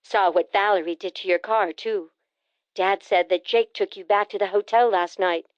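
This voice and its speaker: A woman voicing a brisk, teasing sister. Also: medium pitch, fairly even intonation, no echo, muffled recording, close to an everyday voice. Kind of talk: audiobook character voice.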